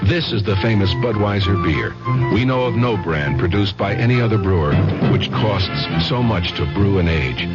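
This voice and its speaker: A deep-voiced man reads an old radio commercial as the announcer. The sound is dull and vintage, with music prominent behind him. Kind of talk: scripted radio beer ad read.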